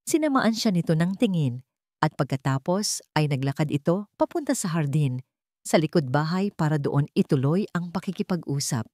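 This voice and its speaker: A woman narrates a dramatic story in a slightly low voice. The sound is dry and free of room echo, as in a scripted audiobook. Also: animated, moderate pace, a little mellow.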